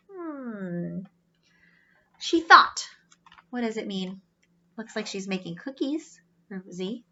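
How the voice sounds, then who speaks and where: A woman reads a picture book to young children with a high, very animated voice. She takes her time, explaining and wondering aloud as she goes. The recording sounds slightly dull. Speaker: children's storybook reader. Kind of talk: animated read-aloud with asides to young listeners.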